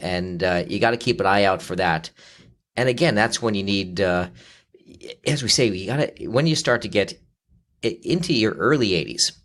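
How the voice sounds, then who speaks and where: A man in his fifties, a financial advisor explaining things in a conversational talk, steady and explanatory with frequent fillers. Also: lowish voice, moderate pace. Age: 50s. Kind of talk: conversational interview.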